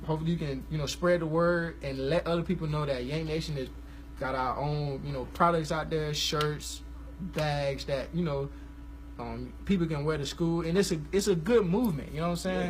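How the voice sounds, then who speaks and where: A man, hosting a casual live stream about gospel music, talking in a relaxed, rambling, slangy way. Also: a little high, moderate pace, rough recording. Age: 20s.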